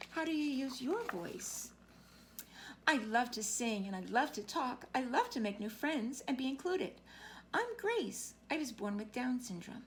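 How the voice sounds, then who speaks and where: A woman reading a children's picture book aloud as a teacher would, with expressive, deliberate phrasing and questions put directly to young listeners. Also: high voice, slight background noise.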